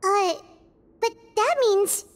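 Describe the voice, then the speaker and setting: A woman voicing a tiny, childlike fairy companion in a video game, perky and excitable. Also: extremely high pitch, takes it slowly. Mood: puzzled, earnest. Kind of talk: English video game voice acting. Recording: light music underneath.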